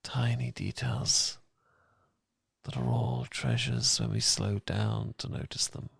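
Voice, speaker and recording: British guy doing boyfriend asmr, really creaky breathy soft voice, slow n calming, close dry mic. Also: very deep voice, no echo. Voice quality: soft, breathy, gravelly, partly whispered. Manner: gentle, calming, intimate, unhurried. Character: loving, reassuring boyfriend. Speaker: ASMR roleplay performer. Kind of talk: romantic ASMR, soothing guided meditation. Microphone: close, dry ASMR miking.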